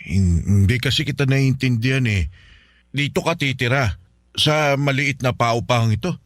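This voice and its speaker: A man's voice: mid-range voice, normal speed, no room sound, clean studio sound, lightly acted. A man playing a flustered middle-aged father who stammers and repeats himself hesitantly in a Filipino radio drama. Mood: confused.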